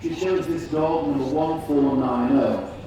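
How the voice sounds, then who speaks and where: A man announcing and commentating at a live show in a measured, deliberate, formal manner. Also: a little high, warm tone. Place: large indoor show arena. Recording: echoey PA in noisy crowded hall, distant. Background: crowd noise and hall ambience.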